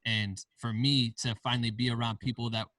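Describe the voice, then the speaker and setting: A man's voice: medium pitch, little pitch movement, brisk, fairly bright tone, dry recording, clean studio sound, far from the mic. A man from Iowa, a podcast guest, telling stories in a laid-back way with casual slang. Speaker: podcast guest, film director and founder. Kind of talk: casual interview chat.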